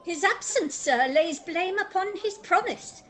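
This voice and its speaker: Deferential noble guest, female amateur actor, very high, animated pitch, courtly radio play verse. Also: measured pace, soft background music, heavily acted. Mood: polite.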